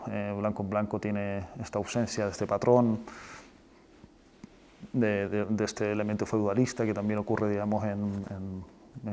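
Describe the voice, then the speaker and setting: A man's voice: on the low side, gravelly, a little mellow. A man from Spain and Chile talking reflectively as a film director in an interview, searching for words and often ending phrases with a questioning tag. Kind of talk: reflective interview talk about own work.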